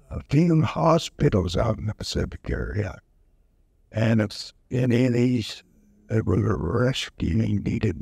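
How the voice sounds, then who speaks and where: Super old guy telling war stories real slow, gravelly husky voice, handheld mic, dry room. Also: fairly low pitch, a little mellow, no room sound. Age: over 90. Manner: slow, halting, with many fillers. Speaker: veteran interviewee. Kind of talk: oral history recollection. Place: museum event room, indoors. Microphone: handheld mic held close.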